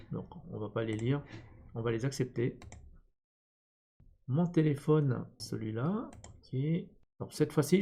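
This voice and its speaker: A man's voice: mid-range voice, warm tone. A man presenting an unscripted step-by-step screen tutorial, thinking aloud hesitantly as he goes. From born in Vietnam. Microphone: computer screen-recording voice-over.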